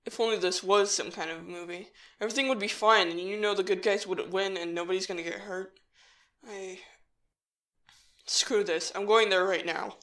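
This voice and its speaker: A man's voice: high-pitched, fairly even intonation, normal speed, sharp tone. A man playing a determined, worried young human in an audio drama, speaking earnestly and urgently. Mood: frustrated. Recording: echoey, slightly distant mic. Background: music.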